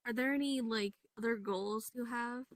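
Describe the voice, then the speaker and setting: A woman playing along as interviewer in a casual improvised comic chat, asking questions in a hesitant, halting way. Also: a little high. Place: virtual reality voice chat.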